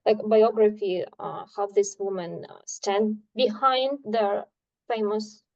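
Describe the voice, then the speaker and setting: Halting woman, mellow voice. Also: medium pitch, fairly even intonation, highs cut off. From Ukraine. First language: Ukrainian. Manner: halting, searching for words. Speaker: study group member. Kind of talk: casual group discussion.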